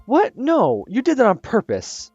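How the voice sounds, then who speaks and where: A man voicing an excitable, dramatic teenage girl from a visual novel, whining and gushing. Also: very high voice, unhurried, breathy edge, no echo, voice pushed up, full character voice. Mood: whiny gushing. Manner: loud, whiny, gushing. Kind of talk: reading a visual novel aloud. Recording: slightly muffled with soft music.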